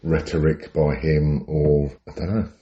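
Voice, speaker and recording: A British man with a very deep, mellow voice co-hosts a casual podcast. He tells stories in a wry, rambling style. The audio is slightly dull and lo-fi. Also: level tone, measured pace. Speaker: podcast co-host. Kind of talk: casual chat, telling anecdotes.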